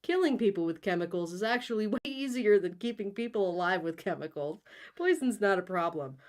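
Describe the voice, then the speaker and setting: A woman's voice: medium pitch, animated, a little fast, close to an everyday voice. A woman voicing a poor, hesitant, plain-spoken male shopkeeper in a comic storybook reading. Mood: obliging. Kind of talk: comic storybook read-aloud.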